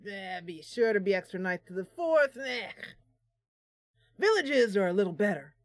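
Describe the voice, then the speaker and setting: A woman voicing a plain-spoken male trading post keeper from a fantasy game, talking in a reluctant, musing, halting way. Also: mid-range voice, sing-song, no echo, clean studio sound, natural voice. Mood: grudging, reflective.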